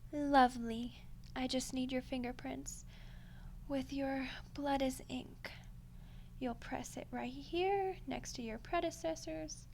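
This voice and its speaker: A woman playing a smug, condescending female archdemon in a soft-spoken ASMR roleplay, unhurried and menacingly polite. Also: high-pitched, creaky, slight background noise, heavily acted. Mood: smug, pleased. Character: ancient female archdemon, smug, coolly seductive deal-maker.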